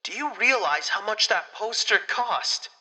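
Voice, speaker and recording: A man's voice: high-pitched, lively intonation, a little slow, pushed and bright, full character voice. A man playing a whiny, indignant, melodramatic member of a biker crew in a comedic audio drama. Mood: wounded, indignant.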